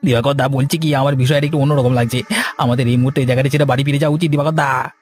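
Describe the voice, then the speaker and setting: A man's voice: mid-range voice, steady tone, racing, lightly acted. An actor playing a nervous, guilty village henchman in a cartoon, hesitant and evasive. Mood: uneasy, evasive. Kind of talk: cartoon drama acting.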